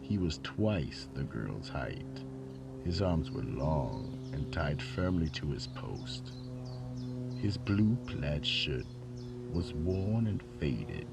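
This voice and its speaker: Extremely deep, gravelly male storyteller. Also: background noise. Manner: theatrical, dramatic pauses. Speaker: narrator and host of a mystery radio drama. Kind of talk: scripted eerie storytelling narration.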